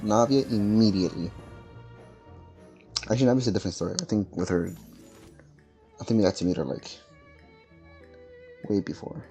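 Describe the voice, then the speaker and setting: A mellow-voiced man rambling over a game. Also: fairly low pitch, moderate pace, pauses often. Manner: rambling, distracted, many fillers. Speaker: gamer streaming a playthrough. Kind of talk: casual gaming commentary. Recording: voice over game audio with faint music.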